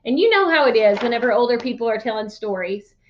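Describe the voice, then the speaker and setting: A woman's voice: a little high, expressive, fast, lo-fi sound, a little hiss or hum. A woman reading a storybook as a teacher would, explaining things to young listeners. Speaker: read-aloud teacher.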